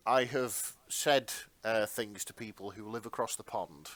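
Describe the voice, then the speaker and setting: A man's voice: lowish voice, measured pace, a bit bright, no room sound. A British man co-hosting a podcast in casual conversation, circling his points a little hesitantly. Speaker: podcast host. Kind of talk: casual chat.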